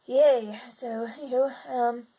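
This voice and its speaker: A young woman with a slightly raspy voice, chatting in a casual video diary and rambling with lots of fillers and restarts. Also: on the high side, fairly even intonation, slow pace, dark tone, rough recording. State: slightly raspy from seasonal congestion. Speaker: vlogger.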